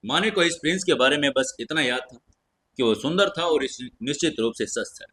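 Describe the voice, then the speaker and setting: Forceful, husky male story narrator. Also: a little high, animated, fairly quick. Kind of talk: scripted dramatic storytelling narration.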